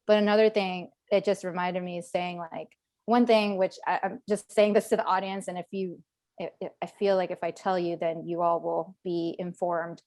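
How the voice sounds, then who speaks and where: A woman's voice: fairly low pitch, level tone, normal speed, a little mellow, dry recording. A woman veteran speaking on a video call in a casual webinar panel, chatty with many fillers and restarts. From Northern Virginia, USA.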